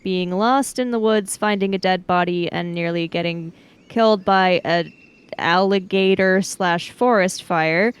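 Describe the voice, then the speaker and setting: A woman's voice: mid-range voice, smooth. A woman playing a tabletop roleplaying game, chatting casually and bantering about the story.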